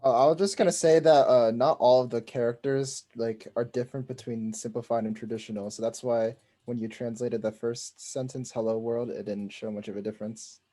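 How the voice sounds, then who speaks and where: Audience man, mellow, casual, a bit distant. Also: medium pitch, fairly even intonation, a little fast, hardly any pauses. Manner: casual, helpful aside. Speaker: audience member.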